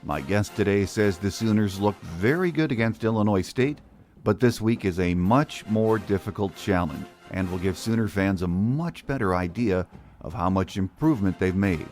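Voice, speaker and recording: A man's voice: fairly low pitch, expressive, normal speed, darkish tone, soft background music. A man hosting a sports podcast, delivering scripted narration. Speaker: podcast host.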